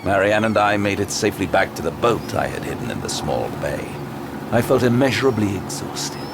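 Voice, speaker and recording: An actor playing a haunted, wounded detective, narrating a grim horror audio drama with grave intensity. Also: male voice, on the low side, animated, fairly quick, background noise. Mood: grieving, exhausted. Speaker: narrator and lead character. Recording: loud music bed throughout.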